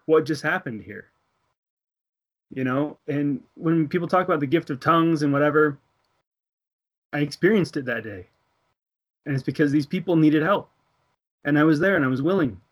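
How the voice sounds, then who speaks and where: A man's voice: on the high side, lo-fi sound. A man in his thirties from the United States, earnestly telling a personal faith story in casual conversation, laughing now and then and acting out the voices of the people in it. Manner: earnest storytelling, laughs between thoughts, acts out dialogue. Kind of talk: casual religious discussion.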